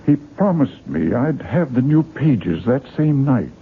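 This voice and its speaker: A male actor playing a polite, hesitant publisher with a halting, formal manner, in 1970s radio drama style. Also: medium pitch, sing-song. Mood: awkward, solemn. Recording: tinny, noisy 1970s radio broadcast recording.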